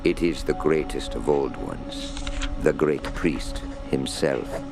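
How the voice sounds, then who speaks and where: Fanatical old cultist: extremely low, croaking voice, slow broken English, radio horror menace. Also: male voice, over music, exaggerated voice. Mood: ominous, reverent. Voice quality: gravelly. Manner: broken English, slow halting incantatory phrases. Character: old, fanatical foreign male cult prisoner, menacing mystic. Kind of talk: radio horror drama acting.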